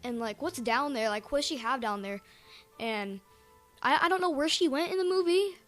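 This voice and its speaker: A woman chatting casually as a podcast panelist, halting and repeating phrases, with lots of filler words. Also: sits high, expressive, soft background music. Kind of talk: casual fan chat.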